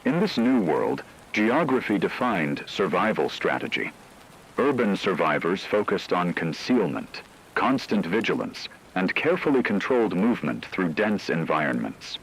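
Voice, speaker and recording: A man reading scripted, documentary-style dramatic narration in a measured, solemn way. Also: deep voice, very animated, slightly rough, low-quality recording.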